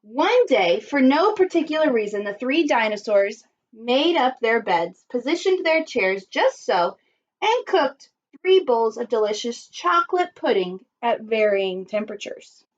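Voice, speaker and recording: A woman teacher reading a children's story aloud expressively and pausing to put questions to her listeners. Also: a little high, sing-song. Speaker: primary school teacher. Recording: dull lo-fi recording.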